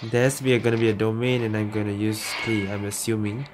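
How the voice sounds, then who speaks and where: A woman's voice: very deep voice, steady tone, fast. A woman doing casual let's-play commentary, reacting aloud to a video game in short remarks. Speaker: gaming YouTuber. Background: loud game music.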